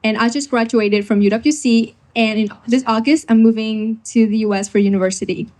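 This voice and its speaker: A nineteen-year-old woman introducing herself to a workshop audience, quick and informal. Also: a little high, steady tone, a little mellow. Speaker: workshop speaker. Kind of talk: presenting to a live audience.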